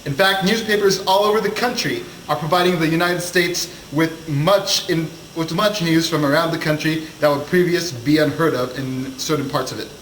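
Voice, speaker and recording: A man's voice: fairly high pitch, moderate pace, few pauses, fairly bright tone, natural voice. A man playing a gossipy, folksy 1920s newscaster in an amateur mock news broadcast, exclaiming and stumbling over the script. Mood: chatty, amazed. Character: chatty, gossipy 1920s newscaster, amazed by his times. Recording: roomy echo, faint noise.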